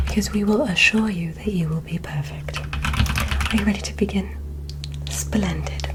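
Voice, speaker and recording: A woman playing a polite, curious female alien medical examiner in an ASMR roleplay, in a soft breathy whisper with formal, stilted phrasing. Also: medium pitch, close to an everyday voice, rough recording. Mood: calm, formal. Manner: formal, oddly stilted, deliberate, reassuring.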